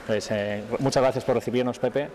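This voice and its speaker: A man's voice: mid-range voice, steady tone, fairly quick, noisy background. A man in his thirties introducing a guest and asking questions, a little hesitant and repeating words. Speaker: interviewer. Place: indoor room, seated on a couch. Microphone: handheld mic.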